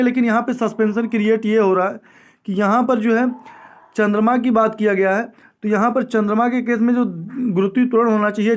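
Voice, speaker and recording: High-pitched man teaching. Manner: repeats key statements for emphasis; mixes English terms into speech. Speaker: exam coaching teacher. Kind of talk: explanatory lecture going through exam questions.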